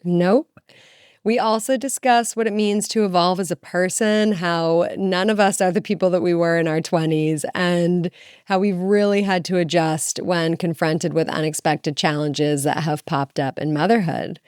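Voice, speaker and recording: An enthusiastic woman co-hosts a chatty podcast. Her voice is low-pitched and smooth, recorded dry and close. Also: steady tone, moderate pace, a little mellow, no room sound. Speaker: podcast co-host. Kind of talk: casual interview.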